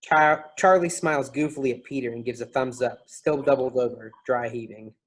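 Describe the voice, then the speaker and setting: A man's voice: mid-range voice, fairly even intonation, normal speed, lightly acted. A man reading out stage directions as scripted narration for a play reading.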